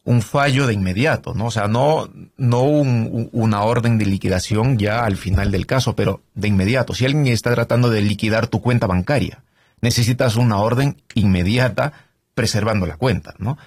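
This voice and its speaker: A middle-aged man from Canada, a trial lawyer, explaining his work in a relaxed interview with many fillers and tag questions. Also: on the low side, normal speed, slightly rough, no echo. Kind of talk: conversational interview.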